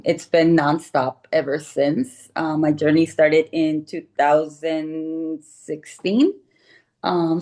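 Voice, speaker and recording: A woman's voice: sits low, a little mellow. A middle-aged woman from Guatemala, an artist guest talking casually and reflectively, tentatively searching for her words. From born in Guatemala, moved to the United States very young. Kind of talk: casual reflective interview talk.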